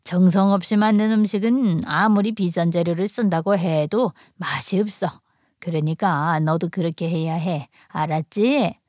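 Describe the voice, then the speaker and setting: A woman voicing a gentle, encouraging elderly country grandmother in a soothing, tender, unhurried way. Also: fairly low pitch, no echo, studio quality. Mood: gentle, earnest.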